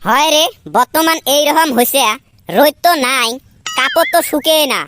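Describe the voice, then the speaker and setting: A man voicing a cheeky, grumbling village lad in a comedy cartoon, teasing in a northern Bengali Rangpur accent through a pitched-up filter. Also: normal speed, bright, forceful tone, a little hiss or hum. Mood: complaining. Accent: northern Bengali (Rangpur region). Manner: teasing, colloquial banter.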